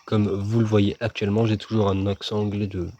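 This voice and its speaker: A man's voice: fairly low pitch, level tone, a little fast, dark tone, dull audio. A man casually commenting as he plays a video game, joking and chatting with himself and his viewers. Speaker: gaming video host. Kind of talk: casual let's-play commentary.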